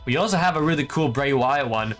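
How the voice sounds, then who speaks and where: A man's voice: medium pitch, animated, fairly quick. A man in his twenties from Canada presenting a video game update to viewers from a bedroom. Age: 20s. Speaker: gaming video creator and host. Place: small bedroom, indoors. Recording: music behind the voice.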